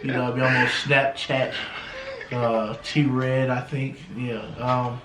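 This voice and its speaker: A man's voice: mid-range voice, flat delivery, slow, a crowd in the background. An American man in his thirties giving casual motivational talk as an interview guest, peppered with street slang and repeated check-in phrases. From United States. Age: 30s. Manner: street slang, frequent "you know what I'm saying". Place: indoors in a house. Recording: roomy, echoing home interview.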